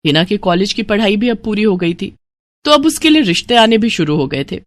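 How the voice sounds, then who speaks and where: A woman's voice: mid-range voice, lively intonation, a little fast, no echo. A woman narrating a scripted moral story as a storyteller.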